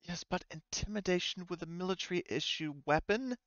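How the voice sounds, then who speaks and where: A man playing a composed corporate woman executive in a tabletop game, formal and measured, outwardly pleasant but privately irritated. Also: high voice, a bit bright, no room sound, dull audio, voice pushed up. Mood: exasperated.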